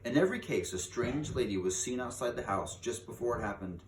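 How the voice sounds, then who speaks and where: A man's voice: mid-range voice, lively intonation, brisk, natural voice. Very old grandmother played by a man, grave, insistent storybook teller, emphatic, roomy distant recording. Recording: echoey room, distant mic, faint noise.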